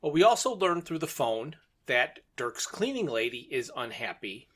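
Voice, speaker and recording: A man's voice: medium pitch, very animated, moderate pace, a bit bright, breathy edge. A man co-hosting a podcast, casually discussing and retelling a story in a conversational way. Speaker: podcast co-host.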